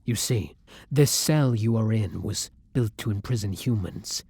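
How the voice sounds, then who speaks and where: A man playing a kind, tormented vampire in an intimate roleplay audio drama, murmuring softly and breathily in formal, old-fashioned phrasing. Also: lowish voice, expressive, unhurried, slightly rough, dry recording, exaggerated voice. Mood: grave, pensive. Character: kind, tormented male vampire, tender and protective lover.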